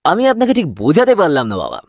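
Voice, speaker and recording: A man's voice: sits high, huge pitch swings, racing, no echo, clean studio sound, exaggerated voice. A man playing a shopkeeper's profit-minded grown son in a cartoon tale, respectful but hesitant, persuasive and self-justifying. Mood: wheedling. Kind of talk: cartoon fairy-tale voice acting.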